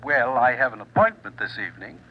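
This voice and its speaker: A man's voice: medium pitch, animated, a little fast, highs cut off. An American man playing a polite, guarded doctor, formal and hesitant, through a telephone filter in old radio drama style. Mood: guarded, uneasy. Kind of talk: mid-century radio drama acting.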